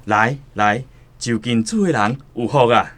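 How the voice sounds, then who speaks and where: An actor playing a welcoming, pious church pastor in a radio drama, declamatory, with a Taiwanese accent. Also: male voice, mid-range voice, measured pace, a bit bright, natural voice.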